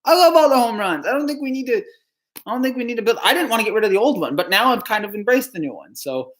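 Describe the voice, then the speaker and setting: A man from New Jersey on a live stream, answering chat questions in a halting, dejected way, with frequent stammered restarts and sighs. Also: extremely high pitch, very animated, a bit bright.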